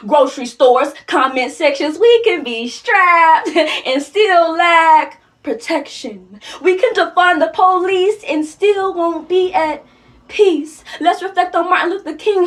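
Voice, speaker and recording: A woman with a markedly high-pitched voice performs spoken word poetry. Her delivery is dramatic and declamatory, with impassioned stress on the key words. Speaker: spoken word poet in a contest.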